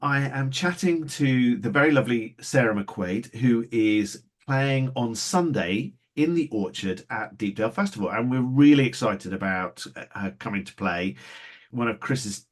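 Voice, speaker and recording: A man's voice: mid-range voice, animated, normal speed. A man hosting a podcast, asking questions in a friendly, hesitant way with many restarts. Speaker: podcast host. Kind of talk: casual interview chat.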